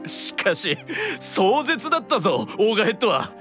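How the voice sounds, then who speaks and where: A man's voice: sits high, sing-song, normal speed, music in the background. A man playing a proud, earnest, worn-out demon brother with a stiff, dramatic delivery in a Japanese anime-style audio drama. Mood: weary, earnest.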